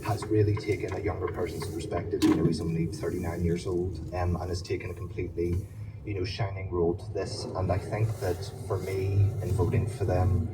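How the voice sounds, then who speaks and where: A man's voice: level tone, a little fast. A 19-year-old man with a Belfast accent, giving earnest answers in an interview. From Belfast, Northern Ireland. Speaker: first-time voter being interviewed. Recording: distant mic, loud ambient rumble.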